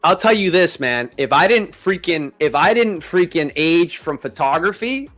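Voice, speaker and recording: A man's voice: on the high side, animated, normal speed, a bit bright, soft background music. A man of thirty-nine chatting casually as a live stream host, with informal, slangy bro-talk. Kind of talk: casual chat.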